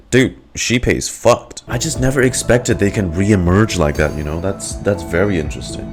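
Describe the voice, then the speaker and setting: A man giving casual, slangy game reaction commentary, exclaiming, swearing and thinking aloud. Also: low voice. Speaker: streamer reacting to a video game story. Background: video game music.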